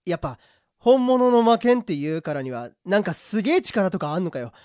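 Man as a gruff, animated guard, dry sound. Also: sits high, brisk, dry recording. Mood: curious, casual. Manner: blunt, rough slangy talk. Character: gruff but kind-hearted rough-talking male prison guard. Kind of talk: amateur radio drama acting.

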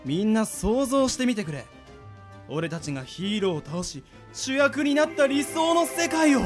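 High-pitched, fervent monster boss. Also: male voice, very animated, moderate pace, music in the background. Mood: rallying. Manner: rousing speechmaker, dramatic exclamations. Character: scheming male monster boss, fervent, theatrical, ambitious. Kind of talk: anime-like radio drama acting.

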